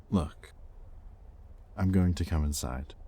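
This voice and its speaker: A man playing a possessive, conflicted demon in an intimate audio roleplay, with halting, sardonic delivery and secret tenderness. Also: deep voice, dark tone, slightly rough, full character voice. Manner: intimate, halting, sardonic, with sighs and muttered curses. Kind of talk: dramatic audio roleplay addressed to the listener.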